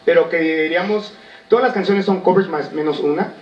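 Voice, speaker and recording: Guy from michoacán talking up his band, a bit high, sounds far and dull. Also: brisk. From Michoacán, Mexico. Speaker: band member, guest. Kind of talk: promotional interview talk. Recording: roomy, distant, dull sound.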